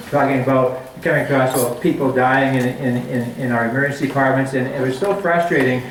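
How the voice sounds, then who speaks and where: A man's voice: medium pitch, slight background noise. Middle-aged man from Canada, physician, chatty public talk, echoey hall, far-off camera. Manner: chatty, many restarts and fillers, walks while talking. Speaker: guest speaker, family physician. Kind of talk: public talk at a community forum. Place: community hall meeting room. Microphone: handheld mic, far camera.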